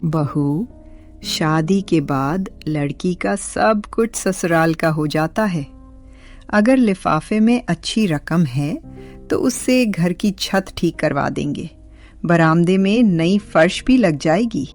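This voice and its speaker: Woman acting a slow-talking, bossy older mother-in-law. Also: fairly low pitch, lively intonation, a little mellow, faint music behind. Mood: demanding. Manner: scolding, demanding, sarcastic. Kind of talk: Hindi cartoon moral story acting.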